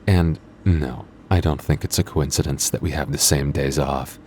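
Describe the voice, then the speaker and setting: A man acts out a cocky vampire coworker in an ASMR audio roleplay. His voice is extremely low, with a smug, flirtatious, dryly teasing delivery. Some background noise sits under the voice. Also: moderate pace, darkish tone. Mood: flirty, teasing. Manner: smug, flirty, dry teasing with sighs and chuckles. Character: cocky, teasing, moody male vampire convenience-store coworker. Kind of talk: intimate ASMR roleplay acting.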